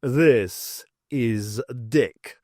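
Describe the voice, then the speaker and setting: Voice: medium pitch, extremely slow, a little mellow, no room sound, studio quality. Narrator as a haughty, purring tomcat. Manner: purring, sardonic, wordy. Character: large fluffy male talking cat, haughty and menacing.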